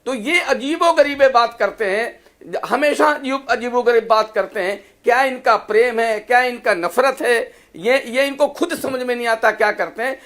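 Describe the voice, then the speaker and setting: A man with an extremely high-pitched voice argues as a panelist in a political debate. He is emphatic and accusatory. He builds his points through repeated phrases. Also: normal speed, a bit bright. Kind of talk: polemical political debate speech.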